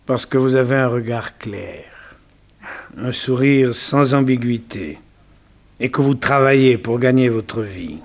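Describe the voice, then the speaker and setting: An actor playing a forty-year-old self-made immigrant banker, awkward and blunt, stammering in a 1960s French radio drama. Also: male voice, mid-range voice, unhurried, lightly acted. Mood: shy, earnest. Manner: hesitant, stammering, repeating words.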